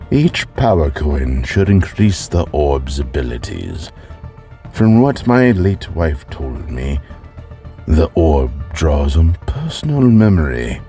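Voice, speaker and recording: A man playing a sly, formal alien villain in an audio drama, with a gravelly, deliberate delivery. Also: dark tone, heavily acted. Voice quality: very deep, gravelly. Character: sly non-human alien villain, widowed, coolly formal.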